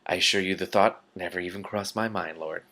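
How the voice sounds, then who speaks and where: A man's voice: low voice, steady tone, fairly quick, pushed and bright, pitched below the performer's own voice. A man reads as a wretched fantasy storybook wizard. He is cowardly and bitter, tripping over his words as he tries to appease. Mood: miserable, flustered. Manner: stammering, hesitant.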